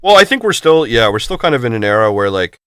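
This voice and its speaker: A man chatting on a podcast, talking quickly and filling every pause with "like". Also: medium pitch, very animated, fairly bright tone, clear, resonant tone, no echo, studio quality. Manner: quick, filler-heavy talk with many "like"s. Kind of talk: casual chat.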